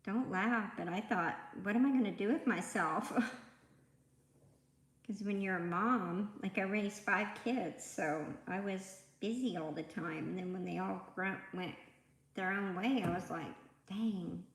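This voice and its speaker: A woman in her fifties hosting a casual livestream, chatting and rambling as she greets her viewers by name. Her voice is soft and a little raspy, and she talks into a tablet microphone. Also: mid-range voice, takes it slowly. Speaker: livestream host. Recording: echoey room.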